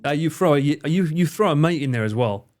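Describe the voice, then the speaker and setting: Lively, theatrical man chatting on stream. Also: a little high, a little fast, soft background music. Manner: joking, theatrical, swears freely, slips into mock voices. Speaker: streamer and cameraman. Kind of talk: casual live-stream chat with viewers.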